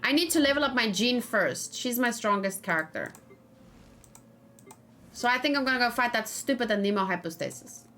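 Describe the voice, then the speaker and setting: A woman's voice: on the high side, lively intonation, normal speed, fairly bright tone. A woman streaming a video game, thinking aloud informally and talking casually with her viewers. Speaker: video game streamer, let's play host. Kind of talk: casual live game commentary, chatting with viewers.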